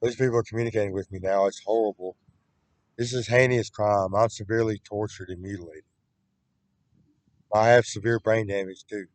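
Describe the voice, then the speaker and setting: A man's voice: fairly low pitch, steady tone, fairly quick, pauses often, dry recording. A man talking in a rambling, repetitive solo monologue, with frequent restarts, recorded on a computer webcam.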